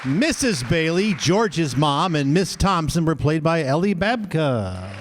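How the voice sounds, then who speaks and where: A man's voice: a little high, sing-song, measured pace, crowd noise. A man announcing cast credits live on stage at a show. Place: live venue with an audience. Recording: noisy live audience recording.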